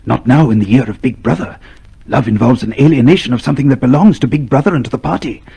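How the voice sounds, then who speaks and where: An actor playing a wary, cynical inner voice in a radio drama, insistent and pessimistic. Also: male voice, mid-range voice, quick pace, vocal fry, dark tone, slightly rough. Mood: insistent, bleak. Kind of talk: old-time radio drama acting.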